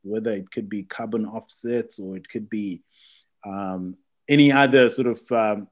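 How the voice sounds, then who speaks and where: A man hosting an interview in a casual, conversational way, with tentative, halting and loosely worded questions. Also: lowish voice. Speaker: interview host.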